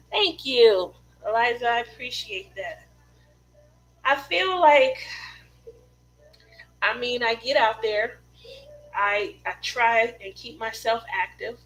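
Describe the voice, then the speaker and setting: A woman's voice: a little high, fairly bright tone, poor audio. A middle-aged woman chatting casually with live viewers as host of a craft stream, telling personal stories in a rambling way.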